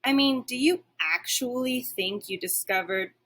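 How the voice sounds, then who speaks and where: A woman's voice: high-pitched, expressive, unhurried, a bit bright, voice pushed up, heavily acted. A woman voicing a big, haughty female dog in a storybook, growly in temper, sceptical and scornful. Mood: incredulous.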